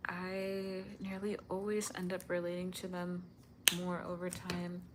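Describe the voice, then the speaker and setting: A young woman livestreaming while she animates, chatting casually and answering viewer comments in a soft, sweet-toned voice. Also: lowish voice, monotone, a little slow, noisy background.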